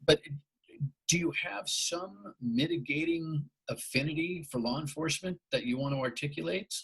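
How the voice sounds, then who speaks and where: A man's voice: on the high side, normal speed, gravelly, a bit bright, slightly rough, rough recording. A man hosting a radio talk show, bluntly challenging his guest and often restarting his sentences. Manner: restarts and stumbles over words.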